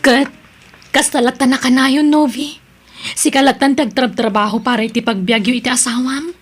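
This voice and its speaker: An actress plays a disapproving Filipino best friend in an audio drama. Her voice is very high, and she speaks bluntly, admonishing with exasperation. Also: steady tone, normal speed, faint music behind, close to an everyday voice. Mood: exasperated.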